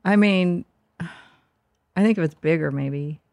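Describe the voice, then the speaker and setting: A woman's voice: fairly low pitch, takes it slowly, creaky, a little mellow. A woman co-hosting a casual podcast chat, teasing and playful, laughing often. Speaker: co-host.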